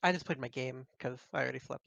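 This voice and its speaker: A man's voice: mid-range voice, fast, gravelly, fairly bright tone, no room sound. A man chatting casually as a player in a tabletop roleplaying game, on a dull, band-limited microphone.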